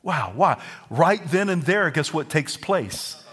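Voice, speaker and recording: Southern man preaching, fairly high voice, energetic pulpit delivery, jumps in loudness. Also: takes it slowly, slightly rough. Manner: lively preaching, rising to near-shouts and singing. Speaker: pastor. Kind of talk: sermon.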